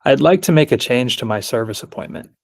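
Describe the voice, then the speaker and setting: A man presents a software demonstration over a video call. His voice sits at a medium pitch, with plain delivery and very little room sound. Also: lively intonation, a little fast, gravelly, no echo, studio quality. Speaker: product demo presenter.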